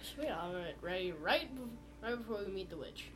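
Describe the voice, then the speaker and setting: A woman's voice: mid-range voice, animated, a little fast, a bit bright, slight background noise. A woman player chatting casually at a tabletop game, sounding hesitant and unsure. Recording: music behind the voice.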